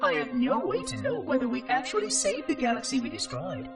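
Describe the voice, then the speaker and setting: An echoing, very high, synthetic voice of an ancient robot caretaker. He speaks in formal, exact, theatrical phrases, fussy and dutiful yet curious and lonely, with sweeping intonation, like a log entry in a sci-fi video game. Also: wildly swooping pitch, brisk, full character voice. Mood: solemn, regretful. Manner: precise, formal, theatrical enunciation. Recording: distant, echoing, music underneath. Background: music.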